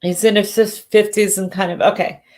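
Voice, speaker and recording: A woman doing live psychic readings who sounds congested and talks in halting bursts, often restarting and correcting herself. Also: medium pitch, flat delivery, darkish tone. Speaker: psychic medium. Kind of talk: live-stream readings, casual chat.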